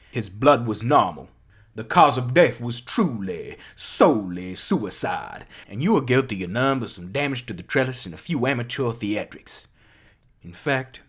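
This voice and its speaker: An amateur performer reciting a film monologue as a theatrical, grandiloquent gentleman detective with a husky voice. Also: medium pitch, exaggerated voice. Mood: solemn, gentle. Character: theatrical gentleman detective, dramatic, deliberate, righteous.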